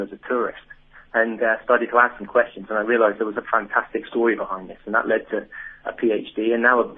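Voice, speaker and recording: An English author speaks quickly and with enthusiasm, often circling back to restart his sentences. His voice is low and husky. It comes through a thin, tinny phone line. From England. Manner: enthusiastic, talkative, frequent restarts. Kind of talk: phone interview. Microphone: telephone.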